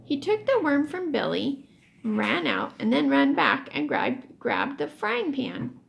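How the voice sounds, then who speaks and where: Animated high-voiced woman reading to children. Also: gravelly. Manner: animated storytelling, pauses to explain words. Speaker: teacher reading a book aloud. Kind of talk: expressive children's read-aloud with word explanations.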